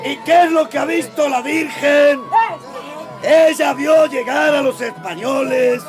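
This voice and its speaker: An actor playing a fiery revolutionary priest of nearly sixty, rallying villagers with declamatory, rousing oratory in a radio drama. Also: male voice, extremely high pitch, steady tone, over loud music, a loud crowd. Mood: indignant rousing. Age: older. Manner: declamatory, rousing, slow emphatic delivery.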